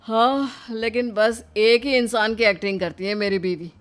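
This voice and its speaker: A man's voice: high-pitched, steady tone, moderate pace, fairly bright tone, clear, resonant tone. A man voicing blustering and put-upon male characters in a Hindi cartoon story. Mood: resigned, pleading. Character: bumbling street robber and a resigned young husband.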